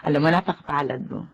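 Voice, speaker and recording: A woman's voice: deep voice, highs cut off, slight background noise, full character voice. A woman acting a doting, cheerful middle-aged single mother in an audio drama. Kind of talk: Filipino radio-style drama acting.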